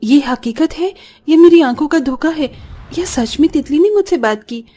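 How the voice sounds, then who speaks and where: Woman as a high-voiced devoted poor mother. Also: normal speed, soft background music. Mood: astonished. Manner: earnest, expressive, melodramatic. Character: poor young mother, gentle, worried and devoted.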